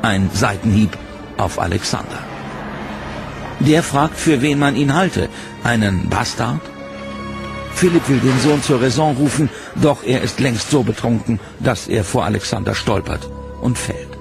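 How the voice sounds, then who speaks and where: A man's voice: medium pitch, lively intonation, creaky. Man narrating a history documentary. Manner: measured, dramatic storytelling delivery. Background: loud dramatic music.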